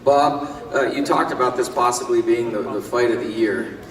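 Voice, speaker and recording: A man's voice: medium pitch, normal speed. A man moderating a press conference, asking fighters and promoters questions with easy fillers. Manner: smooth, leading questions with fillers. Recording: echoey hall, far from mic.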